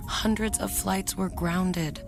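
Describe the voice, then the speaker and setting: An actress playing a dry, pessimistic mother with a resigned, deadpan delivery in a radio drama. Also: mid-range voice, slow pace, creaky, over music.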